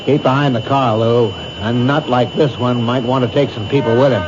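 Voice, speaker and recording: A gruff police officer, voiced by a man, in an old radio mystery, barking short orders. The broadcast is muffled and dull. Loud music plays under him. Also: medium pitch, fairly even intonation, moderate pace, natural voice. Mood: commanding. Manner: clipped orders. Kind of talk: 1970s radio drama acting.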